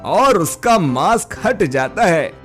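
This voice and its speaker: A man reading scripted narration for an animated moral story as its storyteller. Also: high-pitched, slow, faint music behind.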